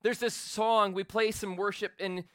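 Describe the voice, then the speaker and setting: A man in his forties preaching a sermon in a church, loud and animated, building up intensity. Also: high voice, unhurried, clean tone, no room sound, studio quality. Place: church sanctuary with a congregation. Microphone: handheld or stage microphone.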